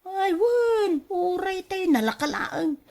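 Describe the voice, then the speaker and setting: A woman's voice: extremely high pitch, very animated, slow, vocal fry, darkish tone, heavily acted. A woman with an Ilocano accent playing a covetous, scheming wife in a radio drama, exclaiming, wheedling and sighing. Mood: eager. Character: covetous, scheming wife craving a car of her own.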